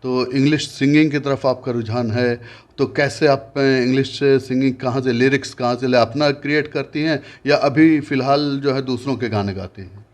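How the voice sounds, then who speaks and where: A man's voice: medium pitch, fairly even intonation, moderate pace. A man who narrates a news report in a formal tone and then interviews a guest, often hesitating when he speaks off script.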